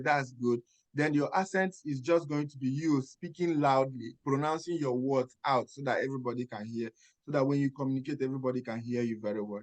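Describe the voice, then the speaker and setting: A man's voice: medium pitch, rough recording. A man with a Nigerian accent, a nurse mentor giving advice on a video call and stressing points with checks like "Okay?". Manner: emphatic, checks in with "Okay?" and rhetorical questions.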